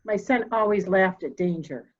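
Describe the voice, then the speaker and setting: A woman playing a proud, formal, candid elderly mother in a murder mystery reading, speaking deliberately. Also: medium pitch, expressive, dull audio, slight background noise, lightly acted. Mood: cool, musing. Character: proud, cold old mother, candid and slightly deaf.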